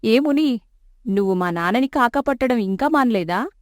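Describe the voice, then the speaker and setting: A woman voicing a feisty, sharp-tongued young woman. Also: fairly high pitch, lively intonation, normal speed, no room sound, natural voice. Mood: scolding. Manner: snappy and scolding. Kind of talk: animated storybook dub. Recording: dry close studio mic.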